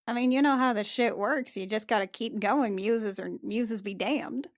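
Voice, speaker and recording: A woman's voice: a little high, moderate pace, no room sound, clean studio sound. A woman playing a tabletop roleplaying game, chatting in a casual, slangy, improvised way. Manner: slangy, conversational.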